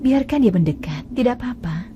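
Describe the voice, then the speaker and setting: A woman voicing a gentle mother camel. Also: a little high, expressive, a little fast, dull audio, a little hiss or hum, natural voice. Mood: coaxing. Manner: soft, tender, soothing. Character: gentle blessed she-camel mother, calm and devout. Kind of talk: dubbed cartoon acting. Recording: music bed under dialogue.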